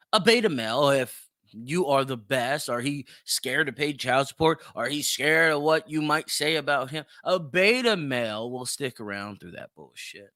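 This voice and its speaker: Bright, forceful man giving blunt commentary. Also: medium pitch, no echo, studio quality. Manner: blunt, mocking, profane, emphatic repetition. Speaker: podcast host. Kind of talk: solo opinionated commentary.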